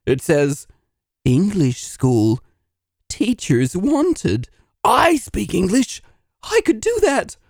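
Man as theatrical, self-pitying failed DM, lively swooping pitch, dry close mic. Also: takes it slowly, creaky, no echo, exaggerated voice. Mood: scheming. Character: self-pitying failed male dungeon master. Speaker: narrator. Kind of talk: comedy audio drama.

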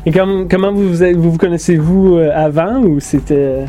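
A Franco-Ontarian man interviews a band, stumbling over his questions. His voice is warm and mellow and sits a little high for a man. Faint room noise runs behind him. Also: normal speed. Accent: Franco-Ontarian French. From Ottawa.

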